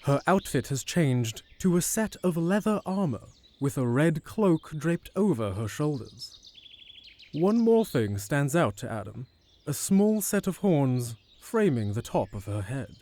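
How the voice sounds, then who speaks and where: A man's voice: on the high side, sing-song, unhurried, a little mellow. A man reading scripted narration for an audio drama.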